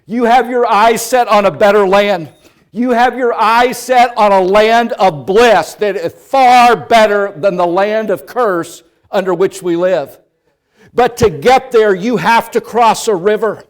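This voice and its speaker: Male pastor preaching; slightly high voice, slow measured pace, storytelling that builds from quiet to loud. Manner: storytelling in second person, swelling from hushed to loud. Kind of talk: sermon.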